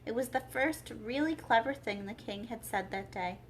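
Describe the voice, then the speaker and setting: A woman narrating a children's storybook aloud as a library storyteller, in an expressive read-aloud style. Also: fairly high pitch, normal speed, slight background noise.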